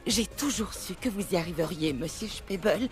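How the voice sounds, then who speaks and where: A woman voicing a polite, kindly lady with a genteel, courteous manner in an animated film. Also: on the low side, moderate pace, soft background music, close to an everyday voice. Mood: admiring, coy.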